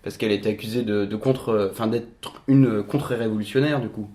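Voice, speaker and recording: A man's voice: fairly low pitch, steady tone, brisk, mellow. A man co-hosting a casual discussion show, who laughs often and chimes in with agreement. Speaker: podcast co-host.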